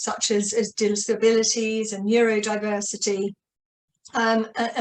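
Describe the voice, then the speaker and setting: A woman's voice: mid-range voice, rough recording. A woman hosting an online webinar and opening it with upbeat remarks over a video call. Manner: upbeat, many fillers and restarts. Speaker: webinar host.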